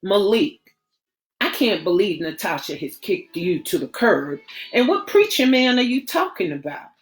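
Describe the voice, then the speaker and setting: A woman voicing an eager, scheming young woman whose lines jump high and pleading. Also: very animated, measured pace.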